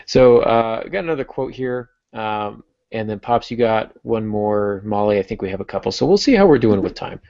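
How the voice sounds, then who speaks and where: A low-pitched man with a gravelly edge hosts a casual panel discussion. He talks conversationally, as a podcast host would. The sound is close and dry, though slightly muffled and lo-fi. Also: lively intonation, normal speed, few pauses, a little mellow, no room sound.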